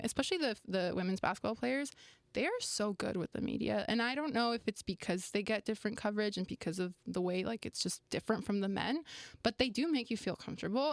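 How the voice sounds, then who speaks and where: A chatty young woman whose first language is Romanian, filling her talk with "like" as she answers questions. Her voice sits at a middling pitch, recorded close and dry with no room sound. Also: dry recording. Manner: chatty, many "like" fillers. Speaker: sports media guest. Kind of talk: casual interview chat.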